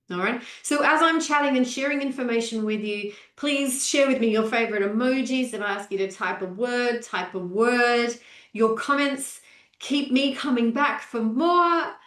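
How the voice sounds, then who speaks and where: A woman's voice: fairly high pitch, a bit bright, reverberant. A woman in her forties, a nutrition coach, hosting a live-stream training and greeting viewers by name in an upbeat way. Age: 40s.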